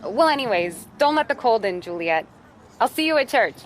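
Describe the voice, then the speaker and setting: A woman's voice: fairly high pitch, big pitch swings, moderate pace, slight background noise. An actress playing a tough, caring young American woman of the 1930s in a game cutscene, blunt and wry. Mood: warm, casual. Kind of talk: naturalistic video game cutscene acting. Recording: music over the dialogue. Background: music.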